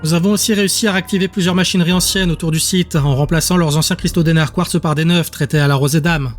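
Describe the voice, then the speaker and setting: A man's voice: a little high, fairly even intonation, quick pace, faint music behind, pitched above the performer's own voice, lightly acted. A man voicing a formal, deferential female subordinate who reports to her master in an audio drama. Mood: businesslike.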